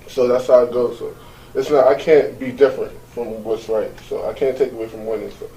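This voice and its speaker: A man's voice: mid-range voice, fairly even intonation, brisk, roomy sound, far from the mic, low-quality recording. A young man from Jamaica who plays college basketball, answering casually and filling his talk with "like". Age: young adult. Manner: casual, many "like" fillers, soft-spoken.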